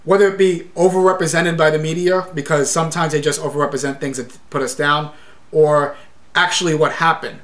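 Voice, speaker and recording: A male podcast host lays out a long, argumentative case, piling on examples as he goes. His voice sits somewhat high for a man. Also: fairly bright tone. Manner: argumentative, building a long point. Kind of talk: podcast interview.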